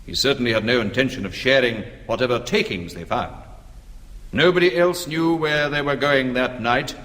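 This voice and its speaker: An actor playing a formal, courteous and measured British gentleman in a radio drama, smooth and sinister. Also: medium pitch, close to an everyday voice. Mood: coolly accusing.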